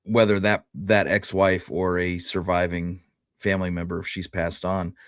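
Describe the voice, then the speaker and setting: A man's voice: deep voice, flat delivery, normal speed, no echo, studio quality. A man co-hosting a history show, talking casually and tentatively, often rephrasing and qualifying his points. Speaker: co-host of a history discussion show.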